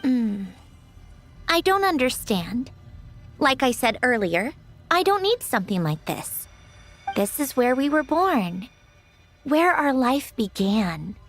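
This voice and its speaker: Fairly high female voice, unhurried, precise, haughty android, wistful undertone, video game. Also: sing-song, faint music behind, full character voice. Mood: puzzled, matter-of-fact. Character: aloof, literal-minded young female android, secretly wistful.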